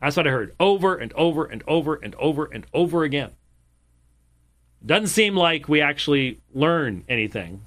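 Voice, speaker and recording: A man's voice: fairly high pitch, animated, normal speed, a bit bright. A man hosting a show, commenting on video clips in an emphatic way and repeating his points for weight.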